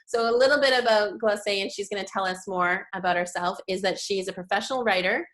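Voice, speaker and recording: A woman's voice: medium pitch, fairly quick, a bit bright. A woman hosting an interview show, speaking in an upbeat and encouraging way. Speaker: host. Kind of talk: conversational interview.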